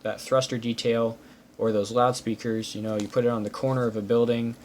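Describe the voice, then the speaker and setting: A man's voice: mid-range voice, a little fast. A man hosting a casual hands-on walkthrough, explaining small details methodically. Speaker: host reviewing building-brick pieces. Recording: clear steady background noise.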